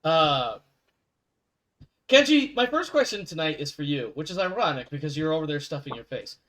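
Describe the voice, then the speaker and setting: A man hosts an in-character sports league broadcast in a somewhat high voice. His pitch swings widely as he reads his intros, and he keeps fixing his own slips with quick asides. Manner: animated, self-correcting with quick asides. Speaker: show host. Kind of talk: in-character sports show hosting.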